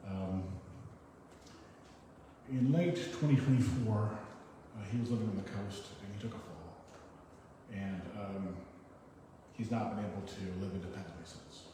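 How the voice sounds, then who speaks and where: Echoey, distant man reading poetry, low mellow voice. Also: measured pace, long pauses. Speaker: poetry reader at an open reading. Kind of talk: poetry reading with casual spoken introductions. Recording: very echoey hall, far mic, noisy.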